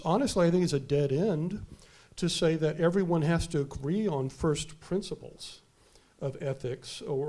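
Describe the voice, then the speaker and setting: A man delivering a conference keynote off the cuff, his voice loud and emphatic. He speaks a touch higher than most men. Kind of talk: unscripted conference talk. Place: conference hall.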